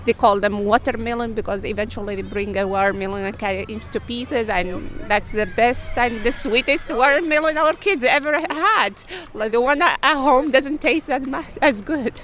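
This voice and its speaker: A woman's voice: on the high side, moderate pace, hardly any pauses, a bit bright. A mother from Morocco, speaking animatedly and fondly about the program outdoors. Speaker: parent. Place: outdoor soccer practice.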